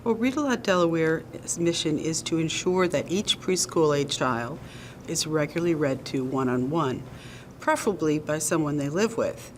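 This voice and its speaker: A woman being interviewed as a nonprofit's executive director. She explains her program in a measured, earnest way, with an occasional um or uh. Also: sits low, expressive, a little hiss or hum, poor audio.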